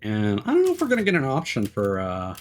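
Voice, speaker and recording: A man giving casual live game commentary, rambling and thinking aloud with hesitant pauses. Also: fairly low pitch, huge pitch swings. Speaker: game streamer. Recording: game music behind the voice. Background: game music.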